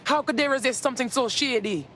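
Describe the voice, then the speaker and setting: An actress playing a blunt, indignant resistance operative in a video game, with a streetwise manner. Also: female voice, high voice, quick pace, bright, forceful tone, slight background noise. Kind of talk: dramatic video game acting.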